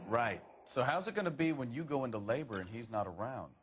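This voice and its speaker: A man's voice: medium pitch, animated, fairly quick, natural voice. Man acting a crude american cop, blunt slangy n condescending, voice jumps around, bit of noise behind. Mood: needling. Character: crude, needling 1940s American police detective. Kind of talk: dramatic video game acting.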